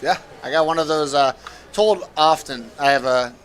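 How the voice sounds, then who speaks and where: A man's voice: on the high side, normal speed, slight background noise. An American man giving casual live poker commentary as a guest, easygoing and chatty.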